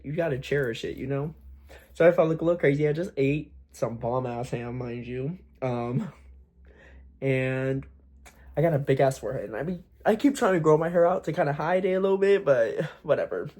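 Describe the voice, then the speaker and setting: A young man chatting candidly to camera about his singing plans, talking in a deliberately raised, slightly forced voice, swearing freely and using lots of fillers. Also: fairly high pitch, darkish tone. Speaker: aspiring singer vlogger. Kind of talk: casual talk to camera.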